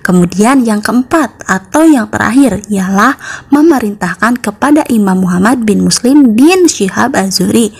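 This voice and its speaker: A young woman teaches a school lesson in a slightly breathy, husky voice. She reads her material in an orderly, instructional way, point by point, for schoolchildren. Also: medium pitch, animated, normal speed, a little mellow. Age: young adult. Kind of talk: scripted lesson narration for schoolchildren.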